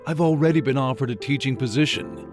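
A man playing a sensible young academic husband, measured and persuasive in a comic dub. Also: medium pitch, lightly acted. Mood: firm, reasoning. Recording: prominent music behind the voice.

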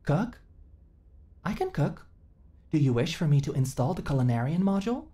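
A mellow, low female android voice, played by a woman, built for a sci-fi roleplay. The companion speaks in formal, literal phrases with earnest naivety, yet the pitch moves in wide swings as it learns to feel. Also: very animated, normal speed. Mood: earnest, eager. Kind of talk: ASMR sci-fi audio roleplay.

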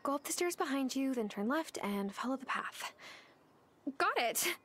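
A woman's voice: sits high, animated, moderate pace, fairly bright tone. An actor playing a friendly, earnest young woman in a cozy video game, polite and eager to help. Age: young adult.

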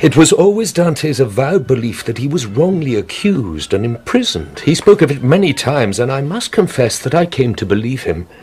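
An actor playing a grave, courteous priest in a radio drama, measured, polite and quietly calculating. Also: male voice, mid-range voice, very animated, noisy background, close to an everyday voice. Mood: smoothly polite.

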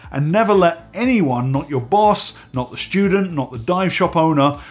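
Stuffy-sounding man hosting a vlog. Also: fairly high pitch. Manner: chatty and animated, talking with his hands. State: stuffy, slightly hoarse from a head cold. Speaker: host. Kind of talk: casual direct-to-camera vlog. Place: home studio room with dive gear on shelves.

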